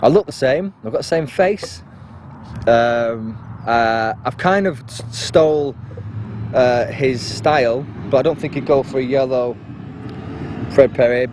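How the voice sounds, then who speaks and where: A man's voice: mid-range voice, a little mellow, slight background noise. A man, an actor, talking hesitantly and self-deprecatingly in a casual interview, with many fillers and restarts.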